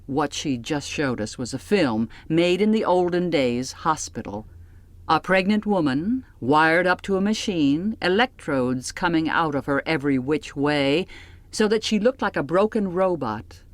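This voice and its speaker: Casting: female literary audiobook narrator, lower register, measured pace, first-person scripted delivery, dry studio sound. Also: expressive, no echo.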